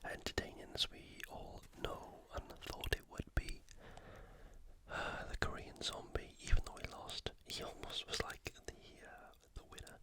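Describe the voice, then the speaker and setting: A person whispering breathily and close to the microphone, giving an unhurried, soft-spoken ASMR sports recap. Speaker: ASMR YouTuber.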